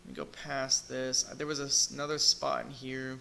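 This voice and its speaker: A man's voice: mid-range voice, flat delivery, brisk, vocal fry, pushed and bright, slight background noise, rough recording. Man with a worn, fading voice. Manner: hesitant, thinking aloud with fillers and restarts. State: losing his voice, clearing throat. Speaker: crypto video reviewer. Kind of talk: casual screen-share review.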